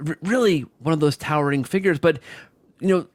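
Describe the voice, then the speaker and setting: Higher-voiced man, effusive podcast host. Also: fairly quick, dry recording. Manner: effusive, wordy, rephrases himself mid-thought. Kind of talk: conversational interview.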